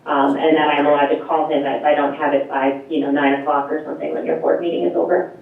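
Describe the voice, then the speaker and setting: Loud, chatty woman on a tinny line. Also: low-pitched, level tone, fast talker, vocal fry. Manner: chatty, many fillers, light laughs. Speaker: program presenter at a municipal board meeting. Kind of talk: explaining and taking questions. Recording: echoey, distant, tinny phone-like line.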